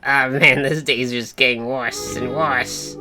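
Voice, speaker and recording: A woman's voice: rumbling low, steady tone, takes it slowly, loud music behind the voice. A woman voicing a brash, foul-mouthed, hungry boy trainer in an improvised cartoon fandub, slangy and exasperated.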